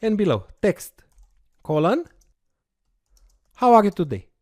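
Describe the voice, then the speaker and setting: An instructor explains step by step, pitch rising and falling a lot. The voice is dry and close, with no room sound. Now and then there is a short "uh" while the instructor works through each step. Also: big pitch swings, takes it slowly, a little mellow, no echo. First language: Romanian. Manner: explanatory, walks through steps with occasional fillers. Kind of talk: recorded course lecture with screen demo.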